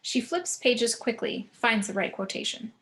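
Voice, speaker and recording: A woman reading a screenplay's action descriptions as narrator, in a measured, descriptive way. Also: fairly high pitch, lively intonation, fairly bright tone, slight background noise. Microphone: video call.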